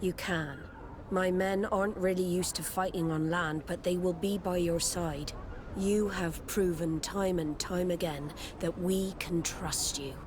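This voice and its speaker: An actress playing a pragmatic, authoritative admiral of seafarers in a video game, with a curt, commanding manner. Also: female voice, on the low side, fairly even intonation, measured pace, background noise, close to an everyday voice. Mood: measured approval. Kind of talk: dramatic video game dialogue.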